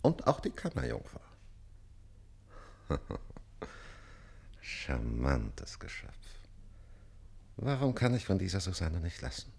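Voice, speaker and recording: A man playing a haughty, jealous nobleman in a theatrical radio play, speaking imperiously and sometimes dropping into slow muttering. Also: huge pitch swings, gravelly, a little mellow, husky, full character voice. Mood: brooding. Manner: imperious, swinging from booming questions to slow muttering. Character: haughty, jealous, lustful nobleman count and master.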